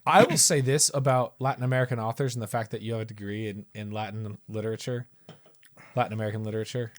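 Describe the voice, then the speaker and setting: A man's voice: on the low side, fairly even intonation, fast talker, dry recording. A man co-hosting a casual movie review podcast, curious and teasing in conversation. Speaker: podcast co-host. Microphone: podcast mic.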